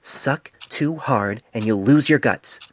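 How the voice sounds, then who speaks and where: A man voicing a stern, wise older female pony physician in a storybook reading, speaking in a measured, grave, admonishing way. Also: mid-range voice, voice pushed up, close to an everyday voice. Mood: earnest, admonishing.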